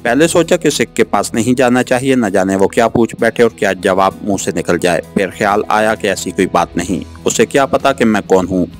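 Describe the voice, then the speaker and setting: A man reading a novel aloud as an audiobook narrator, in an earnest, expressive storytelling style. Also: lowish voice, brisk, few pauses. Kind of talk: dramatic scripted novel narration. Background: background music.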